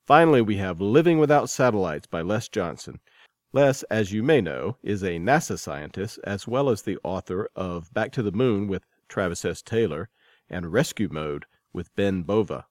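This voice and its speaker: A man's voice: lowish voice, darkish tone, no echo, clean studio sound. A man reading scripted narration as the host and editor presenting a podcast.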